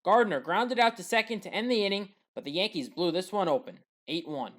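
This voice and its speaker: A woman giving scripted play-by-play breakdown narration as a sports highlights commentator, in a matter-of-fact, clipped way. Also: animated, fairly quick.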